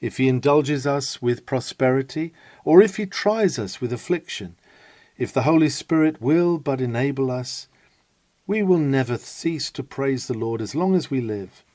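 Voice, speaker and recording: Brief: man, dark and mellow tone, dry close recording, reading a sermon with dramatic swells and hushes. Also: medium pitch, animated, no room sound. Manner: rises and falls for emphasis, solemn pauses. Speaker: reader of a historic sermon.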